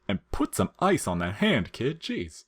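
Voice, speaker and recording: A man's voice: lowish voice, wildly swooping pitch, a little slow, breathy edge, full character voice. A man voicing a lazy, grinning skeleton slacker from a game story, talking in casual slang with dry, teasing humor. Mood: breezy. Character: laid-back, wisecracking lazy male skeleton, grinning slacker.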